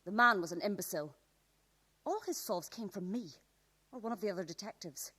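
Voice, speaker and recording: Female radio-play villain, scornful and sarcastic, very animated pitch, theatrical gloating. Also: fairly quick, husky.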